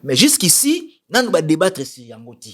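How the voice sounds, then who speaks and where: Male political guest, Congolese accent, fairly high voice with very lively intonation, emphatic and didactic. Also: moderate pace. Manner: emphatic, didactic, repeats key points. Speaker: political commentator interviewed as a guest. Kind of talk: political interview, mixing French and Lingala.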